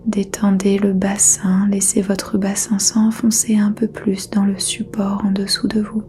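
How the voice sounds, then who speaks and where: A woman whispers a guided meditation in a soft, mellow hush. She speaks slowly, in an even, barely rising tone. Faint music plays underneath. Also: medium pitch. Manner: whispered throughout, soft and unhurried. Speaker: yoga nidra teacher.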